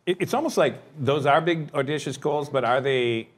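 A man's voice: fairly high pitch, moderate pace. A man hosting a stage conversation who asks rambling questions full of restarts and also calls on the audience. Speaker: host and moderator.

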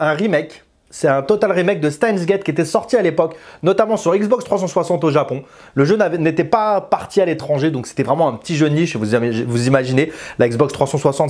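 Guy in his 30s chatting through a game review, voice a bit high, kinda rambly. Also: darkish tone. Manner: conversational, rambling, repeats words for emphasis. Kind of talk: casual spoken video review. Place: small indoor room with bookshelves. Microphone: lapel mic.

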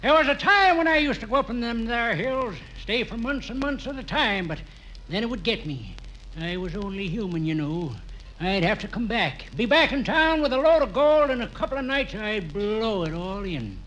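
An actor playing a folksy, drily comic old gold prospector in a radio comedy. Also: male voice, high-pitched, very animated, normal speed, bright, forceful tone, slight background noise, heavily acted, rough recording. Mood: folksy, matter-of-fact. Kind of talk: 1940s-50s radio comedy acting.

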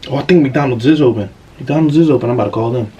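A man's voice: mid-range voice, very fast, mellow, lo-fi sound, a little hiss or hum. A man in his twenties making casual prank calls in a laid-back, slangy, mock-earnest way from a bedroom. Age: 20s. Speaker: YouTube prankster. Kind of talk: casual prank phone calling. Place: bedroom, indoors. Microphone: camera mic at about arm's length.

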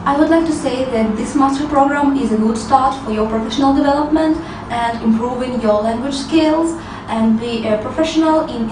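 Young female student, high-pitched, careful recited delivery; distant, roomy, noisy recording. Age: young adult. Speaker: master's student. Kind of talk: prepared testimonial. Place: room indoors. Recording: echoey room, distant mic, noisy.

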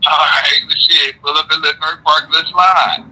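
Sharp, husky American man on a phone. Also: fairly high pitch, fairly even intonation, a little slow, rough recording. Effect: telephone. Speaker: prank call recipient. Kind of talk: terse, slangy phone argument. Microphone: phone call played on speaker.

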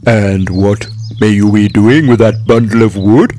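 A man voicing a greedy, inquisitive but friendly bear in a children's story, halting and repeating his words. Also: lowish voice, dark tone, slight background noise, lightly acted. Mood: friendly, curious.

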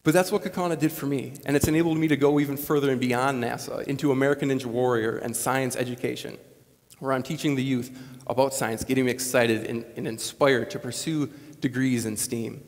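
A man's voice: medium pitch, fairly quick. A man in his late twenties from Wisconsin giving an earnest, conversational commencement speech on a lapel mic. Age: late 20s. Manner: earnest, conversational, animated gestures. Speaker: commencement speaker. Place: large school gymnasium, on stage. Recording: long gym reverb.

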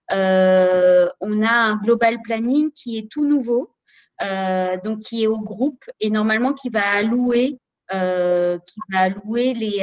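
A woman's voice: medium pitch, rough recording. A woman from Canada, speaking as a supply chain manager on a video call panel, hesitant and full of fillers. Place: office room. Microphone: video call webcam.

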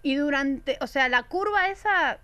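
A woman in her thirties with an Argentine accent, a guest in a casual studio podcast chat, talking emphatically with drawn-out pauses. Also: high-pitched, lively intonation, sharp tone, dry recording. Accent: Argentine Spanish. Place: small bright studio. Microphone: desk mic on stand.

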